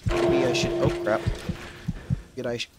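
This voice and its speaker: A man's voice: mid-range voice, level tone, normal speed. A young man of twenty-one talking through a game he plays, rambling and chatty, cursing now and then at what happens on screen. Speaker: gaming channel host. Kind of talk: casual let's-play commentary. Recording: faint background noise, voice drops out when soft.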